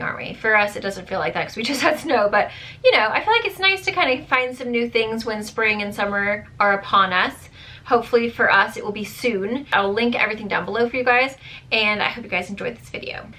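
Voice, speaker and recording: Chatty woman with a bright, strained voice. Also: fairly high pitch. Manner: chatty, rambling, many fillers. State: voice strained, going hoarse. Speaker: beauty vlogger. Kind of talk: casual product review to camera.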